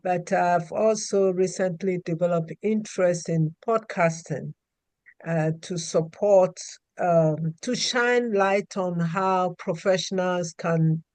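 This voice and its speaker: A woman's voice: on the low side, takes it slowly, darkish tone, no echo. A woman, a healthcare practitioner, introducing herself earnestly on a video call. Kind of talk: self-introduction on a group call.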